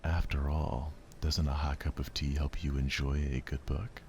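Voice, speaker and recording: A man's voice: extremely low pitch, level tone, moderate pace, darkish tone, a little hiss or hum. Shy, well-mannered teenage bookworm girl, acted by a man, refined and hesitant, for a visual novel. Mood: polite, shy.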